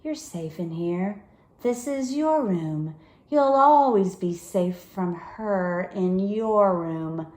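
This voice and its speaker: Woman acting cold distant nightmare girl, storybook character, unhurried, ominously calm, echoing room. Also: medium pitch.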